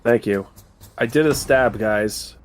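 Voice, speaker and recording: A man's voice: mid-range voice, takes it slowly, over music. A man chatting casually as a player in a tabletop game, with a wry, joking manner.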